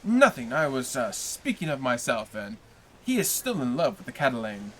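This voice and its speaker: A man reading aloud puts on a crafty, jealous ship's officer from a storybook, who asks sly, probing questions in a bright, lifted tone. He needles and schemes with a sneer in every line. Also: mid-range voice, big pitch swings, moderate pace, a little hiss or hum, rough recording. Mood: scheming.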